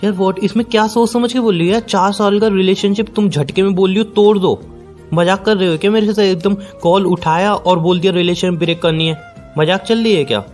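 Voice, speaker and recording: Actor as a high-pitched, exasperated young boyfriend. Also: male voice, fairly even intonation, brisk, a little mellow, soft background music, lightly acted. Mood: incredulous, hurt. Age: young adult. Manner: repetitive, pressing, exasperated. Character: young boyfriend, hurt, incredulous, pleading against a breakup. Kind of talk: acted phone-call drama in conversational Hindi with some English.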